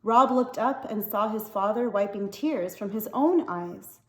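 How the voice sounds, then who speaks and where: A woman reading a novel aloud as an expressive story narrator. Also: medium pitch, measured pace. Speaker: story reader. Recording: echoey, reverberant room.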